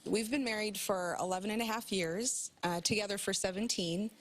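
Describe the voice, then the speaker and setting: A woman's voice: medium pitch, fairly even intonation, normal speed, rough recording. A woman in her thirties, a talk show guest who tells a story in a chatty way and laughs as she talks. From Polish family in the US.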